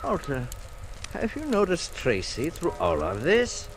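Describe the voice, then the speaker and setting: An actor playing a concerned, probing older music teacher in a 1940s radio suspense drama, deliberate and solicitous. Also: male voice, a little high, huge pitch swings. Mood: solicitous, uneasy. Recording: vintage radio recording with background noise.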